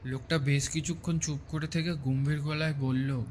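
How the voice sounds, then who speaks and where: A man's voice: fairly high pitch, steady tone, moderate pace, a little hiss or hum. A man giving a scripted narration of a horror story. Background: music.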